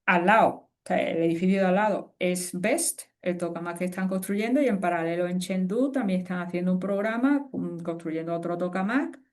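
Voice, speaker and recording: A woman, a guest expert speaking in a conversational webinar interview, animated and explanatory with frequent fillers and restarts. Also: on the low side, fairly quick, hardly any pauses. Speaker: guest expert on fusion energy.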